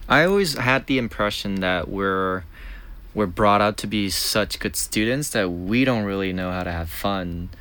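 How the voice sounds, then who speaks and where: A man's voice: lowish voice, normal speed, sharp tone. A man hosting a casual interview, friendly and chatty, backing up his guest with frequent short replies and asking loose, rambling questions. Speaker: interviewer, podcast host.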